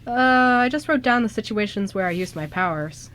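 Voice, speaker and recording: A woman's voice: medium pitch, animated, normal speed, a bit bright, lightly acted. A woman playing an anxious young male time traveler in an amateur radio drama, stammering and hesitant. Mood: bashful, pleased. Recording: noisy amateur radio show recording.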